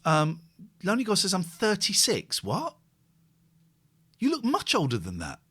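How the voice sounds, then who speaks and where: A man nearing fifty chats loosely on a live stream, his pitch swinging widely from low mutters to sudden high bursts. He rambles, repeats himself and pokes fun at himself while reading viewers' comments aloud. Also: wildly swooping pitch, unhurried, sharp tone, husky. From England. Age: 40s. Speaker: host. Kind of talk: casual live-stream chat.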